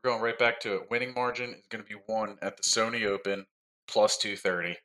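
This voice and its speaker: A man's voice: lowish voice, a little fast. A man on a betting panel, boastful and playful, laughing as he gives his picks. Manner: boastful, animated, laughs a lot. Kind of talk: casual panel chat.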